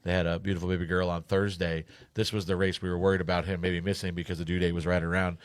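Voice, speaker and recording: A man's voice: sits low, level tone, quick pace, no echo. A man hosting a casual sports podcast, talking in a rambling, joking way. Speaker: podcast host and racing spotter.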